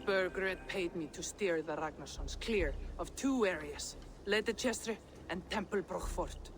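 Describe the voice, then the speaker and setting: Sly, slow-talking mercenary woman played by an actress. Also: on the low side, over music, lightly acted. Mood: sly bargaining. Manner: teasing, drawling, theatrical. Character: sly, brazen, mercenary female camp leader. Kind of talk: dramatic video game acting.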